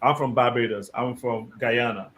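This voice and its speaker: A man hosting a live discussion show, reading out viewer comments and guiding his guests in a measured, deliberate way. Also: mid-range voice, fairly even intonation, a bit bright, a little hiss or hum. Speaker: host.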